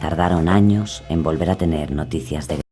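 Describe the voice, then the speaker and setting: A man delivering scripted true-crime narration in a solemn, measured manner. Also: very deep voice, mellow, faint music behind.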